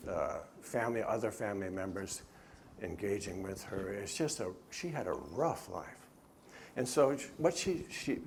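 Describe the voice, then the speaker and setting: Brief: middle-aged man, author at a church talk, earnest, halting, medium pitch, faint noise behind. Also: darkish tone. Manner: earnest, often halting with restarts. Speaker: author and speaker. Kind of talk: church talk with questions from the audience.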